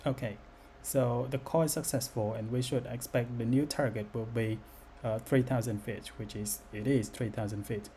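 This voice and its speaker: A man giving an unscripted software demo as its developer, speaking in a halting, hesitant way. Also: medium pitch, steady tone. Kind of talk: unscripted screen-recorded demo narration. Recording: noticeable background noise.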